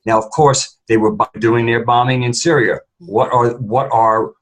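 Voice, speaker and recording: A man's voice: mid-range voice, steady tone, moderate pace, poor audio. A man co-hosts a discussion show with off-the-cuff commentary. He is emphatic and tends to restate his points in short, repeated phrases. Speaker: co-host of a talk show. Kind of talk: unscripted political commentary.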